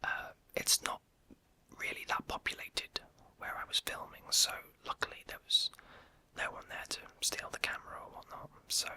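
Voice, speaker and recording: Bright whispering YouTuber, soft ASMR Q&A. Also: normal speed. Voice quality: unvoiced whisper throughout. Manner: hesitant and rambling, many "um"s, self-correcting. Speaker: YouTube creator answering viewer questions.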